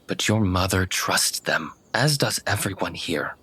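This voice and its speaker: A man's voice: deep voice, expressive, a little slow, sharp tone, slightly rough, full character voice. A man voicing a stern but caring woman warrior mentor in a tabletop game, with commanding, solemn encouragement.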